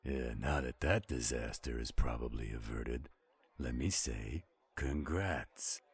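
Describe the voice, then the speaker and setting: An easygoing werewolf man voiced by a male actor in an audio roleplay. He speaks slowly in an extremely low voice. His drawl is teasing and very husky. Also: full character voice. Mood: calm, reassuring. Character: laid-back, teasing male werewolf friend.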